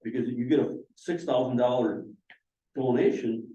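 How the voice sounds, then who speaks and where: A man in a committee meeting reasons through a practical point at length, his tone mellow. The sound is dull and echoey, picked up from far across the room. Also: mid-range voice, fairly even intonation, moderate pace. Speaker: committee member. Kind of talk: casual committee discussion.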